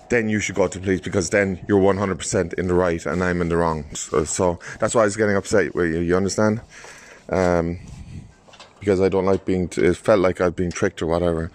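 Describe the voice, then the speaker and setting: A man leaving insistent, defensive voice messages to a buyer, calling him "mate" and pressing him to act. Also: sits low. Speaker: seller in voice messages. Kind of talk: recorded voice messages. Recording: noisy phone voice message.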